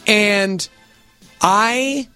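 A man with a high, bright voice chats casually and tells a long story, and he keeps acting out the scenes with put-on voices and sound effects. Soft music plays faintly behind him. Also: extremely slow, smooth.

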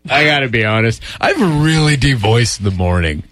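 A man's voice: medium pitch, expressive, a little fast, a bit bright, no room sound. A man co-hosting a morning radio show in a studio, teasing his partner and laughing often. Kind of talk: playful morning radio banter. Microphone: studio microphone.

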